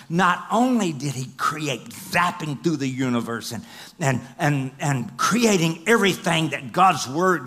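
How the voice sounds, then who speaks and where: A middle-aged man preaches from a large church stage, pacing and gesturing as he talks into a headset mic. His voice sits a little high and swings widely, rising to loud, excited runs and falling to hushed whispers. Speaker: pastor. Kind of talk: sermon.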